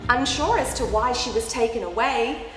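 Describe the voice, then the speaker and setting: High-voiced woman reading a children's story, echoey. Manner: animated, exaggerated reactions with gasps and drawn-out words. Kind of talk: expressive picture-book read-aloud with asides to young children. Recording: roomy echoing hall sound, background music. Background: music.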